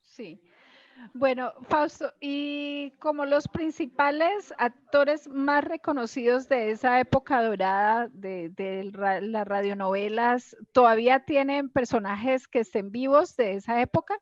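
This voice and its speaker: A woman hosts a conversational interview. Her voice is fairly high, and she echoes and agrees with her guest. The sound is slightly dull and lo-fi. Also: a little slow.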